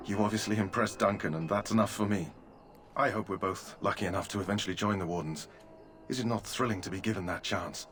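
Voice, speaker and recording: A man's voice: lowish voice, quick pace, a bit bright, faint music behind, lightly acted. A man voicing an earnest young male knight in a video game, speaking formally and a little stiffly. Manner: formal, old-fashioned, earnest. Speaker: game character.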